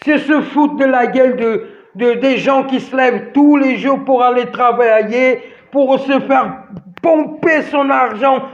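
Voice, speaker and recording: A man with a very high voice speaks slowly and with emphasis, circling back over the same phrases and stumbling through restarts. French is not his first language. He talks straight at his listeners, and the recording sounds dull and lo-fi. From outside France. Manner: emphatic, repetitive, many restarts and fillers; addresses listeners directly. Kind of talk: ranting monologue to camera.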